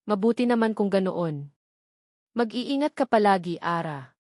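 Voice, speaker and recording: A woman narrator plays an affectionate older mother in an audiobook drama. She is reassuring and caring, with a middle pitch and a dry, close sound. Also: animated, unhurried, a little mellow, no room sound, natural voice. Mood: tender concern.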